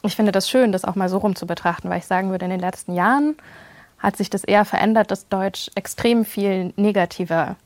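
A young woman from Germany speaks as a podcast guest in a relaxed, loose conversational manner. She hedges often with fillers as she thinks aloud. Her voice is mid-pitched and recorded dry and close. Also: fairly quick, no echo. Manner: casual, loose, frequent hedging fillers. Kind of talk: conversational interview.

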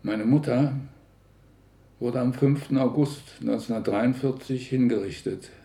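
An older man from Berlin recounts personal memories slowly and reflectively. His voice is gravelly and dark, with halting phrases in an echoing room. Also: medium pitch. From Berlin, Germany. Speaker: contemporary witness recounting family history. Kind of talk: personal spoken recollection.

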